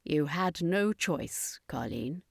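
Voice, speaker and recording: A woman as a cold, hushed circus master. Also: low-pitched, expressive, takes it slowly, vocal fry, slightly rough, no echo, clean studio sound, full character voice. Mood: cold reassurance. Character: circus master, callous, coolly matter-of-fact adventurer.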